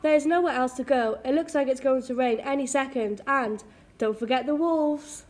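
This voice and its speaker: A woman's voice: high voice, moderate pace, a little mellow, lightly acted. A woman playing a sensible, bossy young sister in an amateur radio drama. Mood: scolding, brisk.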